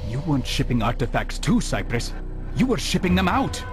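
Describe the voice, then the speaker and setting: An actor playing a brooding, resolute young assassin in a video game, speaking in a measured, solemn way. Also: male voice, on the high side, very animated, over music. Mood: cold, defiant. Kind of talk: scripted video game cutscene acting.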